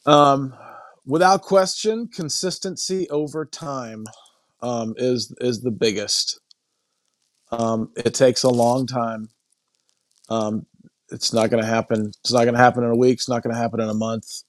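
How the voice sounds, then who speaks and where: Halting, reflective man in a dry recording. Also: mid-range voice, fairly even intonation, no echo. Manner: halting, thinking aloud, repeats phrases. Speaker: podcast guest, coaching client. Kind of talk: casual reflective interview talk.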